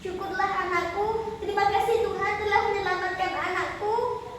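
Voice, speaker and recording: Loving, anxious storybook mother, acted by a female narrator, high voice, tender pleading, very echoey room. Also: steady tone, normal speed. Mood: grateful. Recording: echoey hall, distant mic, faint noise.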